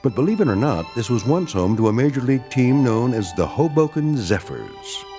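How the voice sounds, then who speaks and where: A man's voice: medium pitch, sing-song, moderate pace, music in the background, lightly acted. An American man narrating a radio drama as a wry, folksy, theatrical storyteller introducing an eerie tale. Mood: wry, ominous.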